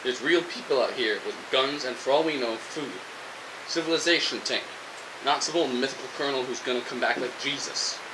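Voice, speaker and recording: A man's voice: medium pitch, brisk, close to an everyday voice. A man playing a defiant, fed-up, hungry survivor in a post-apocalyptic audio drama, arguing with a sarcastic edge. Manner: argumentative, sarcastic. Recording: steady hiss, noisy radio-style recording.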